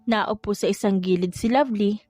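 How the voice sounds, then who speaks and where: A woman's voice: mid-range voice, fairly quick, dark tone, no echo. A woman reading scripted romance fiction as an audiobook narrator. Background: music.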